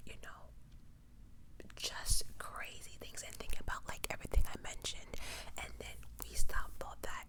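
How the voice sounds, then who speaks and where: A woman whispering true crime stories for ASMR, with casual, rambling asides in a breathy, unvoiced voice. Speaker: ASMR host.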